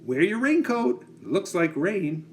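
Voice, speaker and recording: A man voicing a practical, attentive mother in a children's story, gently giving instructions. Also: high-pitched, sing-song, slow, a little hiss or hum, pitched above the performer's own voice, heavily acted. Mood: caring, practical.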